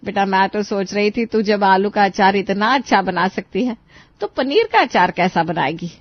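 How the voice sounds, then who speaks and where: A woman's voice: mid-range voice, expressive, normal speed, no echo, lightly acted. A woman playing a sweetly demanding mother-in-law. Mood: coaxing. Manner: coaxing, affectionate. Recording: dry, slightly muffled studio voice.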